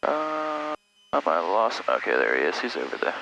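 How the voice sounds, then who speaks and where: A male trainee pilot gives short callouts and readbacks in a gravelly, lively voice. He is on an aircraft headset, with engine noise clearly heard behind him. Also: sits low, fairly quick, lo-fi sound. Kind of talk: cockpit checklist callouts and radio readbacks. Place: small twin-engine plane cockpit in flight. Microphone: aviation headset. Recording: noisy aircraft headset intercom.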